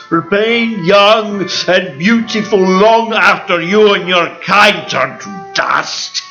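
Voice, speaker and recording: A menacing ancient vampire lord in a live radio play. He speaks slowly and seductively in a high, theatrical voice that swings widely, with clear music behind him. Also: pushed and bright, highs cut off, full character voice. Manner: slow, courtly, theatrical. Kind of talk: stage radio play acting.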